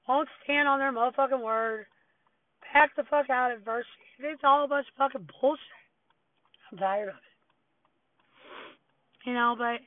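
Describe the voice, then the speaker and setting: A slightly high-voiced woman rants on her own, blunt and full of swearing. Her voice is hoarse and wearing out. The sound is thin and tinny, like a phone recording. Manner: blunt, profane, venting. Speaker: podcast host. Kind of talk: unscripted solo rant.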